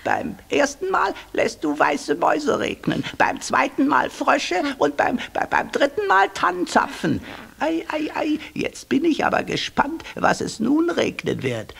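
An actor playing a cheeky, know-it-all talking raven in a children's audio drama, with a raspy croak and a teasing, scolding manner. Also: high voice, very animated, unhurried, a bit bright, heavily acted. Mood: mocking. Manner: scolding, teasing, repeating words. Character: cheeky, wise talking raven, mocking male bird.

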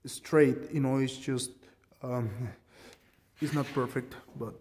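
A man's voice: medium pitch, fairly even intonation, takes it slowly, darkish tone, in a large hall, a little hiss or hum. A man casually demonstrating a workshop tool modification, rambling and self-deprecating with many ums, filmed on a handheld phone in his garage. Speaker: DIY fabricator showing a tool mod. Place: home garage workshop, indoors. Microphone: handheld phone camera.

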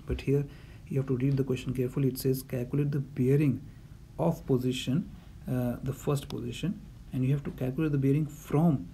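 A man's voice: mid-range voice, vocal fry, warm tone, slight background noise. A man teaching navigation calculations in a voice-over lesson, methodical and repetitive, with frequent fillers. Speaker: navigation instructor. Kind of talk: explanatory lesson over handwritten notes.

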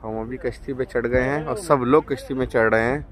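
A man's voice: medium pitch, fairly even intonation, fairly quick, crowd noise. Chatty Pakistani man filming at dawn. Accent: Pakistani Urdu. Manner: chatty, showing things to the camera. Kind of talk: casual selfie narration. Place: beach and boat outdoors at dawn. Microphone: phone at arm's length. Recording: engine noise behind.

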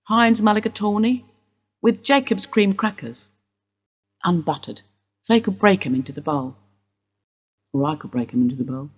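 A woman's voice: medium pitch, normal speed, long pauses, breathy edge. A woman acting a wistful widowed housewife questioned by police in a radio play, rambling through memories. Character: widowed English housewife, Mrs Steele, wistful suspect.

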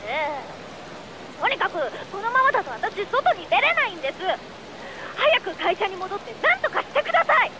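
An exasperated young female secretary in a game drama. Her voice sits very high and swings around as she complains, fussy and pushy, with clear noise behind her. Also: moderate pace. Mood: urgent. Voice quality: high-pitched, animated. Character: exasperated, whiny young office secretary woman. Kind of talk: video game voice acting.

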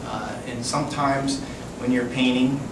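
A man talking informally as a painter on a panel in short, halting phrases, recorded from a distance in an echoing, very noisy hall. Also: medium pitch, fairly even intonation. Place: large wooden hall with seated audience. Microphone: far camera.

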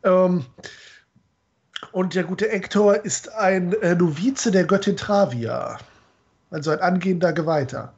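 A man in his thirties giving a halting, wry, casual explanation on a slightly dull-sounding video call. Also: sits high, darkish tone. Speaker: stream guest and co-writer. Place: room at home, video call.

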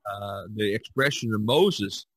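A man in a casual podcast discussion about art, telling stories in a halting, repetitive way. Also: lowish voice, breathy edge, dry recording. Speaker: podcast co-host.

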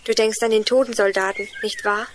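A woman's voice: mid-range voice, fairly even intonation, fairly quick, slight background noise, natural voice. A woman playing an earnest young girl in a children's radio play, telling things in an eager, explanatory way. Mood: determined. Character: Juliane, earnest young girl lost in forest.

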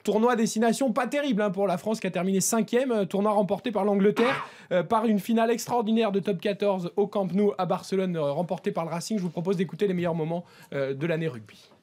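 Male studio sports presenter, high voice, rapid lively delivery. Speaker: radio sports host. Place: radio studio with several guests. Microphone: studio desk microphone.

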